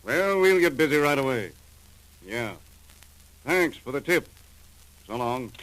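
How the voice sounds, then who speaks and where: An American man acting a gruff, seasoned customs chief in a 1940s radio crime drama, with clipped, slangy, businesslike delivery. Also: mid-range voice, expressive, a little slow, fairly bright tone, rough recording. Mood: brisk, harried. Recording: old 1940s radio recording.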